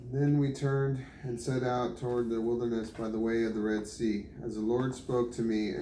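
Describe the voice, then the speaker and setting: A man reading scripture aloud in a flat, steady way. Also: medium pitch, roomy sound, slight background noise, low-quality recording. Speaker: Bible reader.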